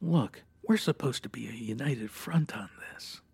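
A man playing a stern, exasperated police detective with formal, deadpan delivery in a comic radio parody. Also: mid-range voice, unhurried, creaky, husky, full character voice. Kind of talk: comic old-time radio parody acting.

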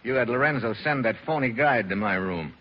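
A man's voice: mid-range voice, animated, fairly quick, natural voice. A man playing a wry, tough, unflappable American secret agent with an American accent and wisecracking, slangy manner in a 1940s radio drama. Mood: wry, cool. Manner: hard-boiled, slangy, wisecracking. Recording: dull, noisy old radio recording.

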